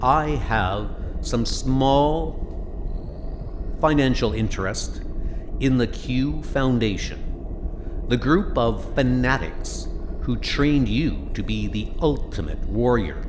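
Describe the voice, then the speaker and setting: A man playing a smug, cold-blooded billionaire villain in a comic book audio drama, deliberate, sardonic and menacing. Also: mid-range voice, expressive, noisy background. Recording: music under the voice. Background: music.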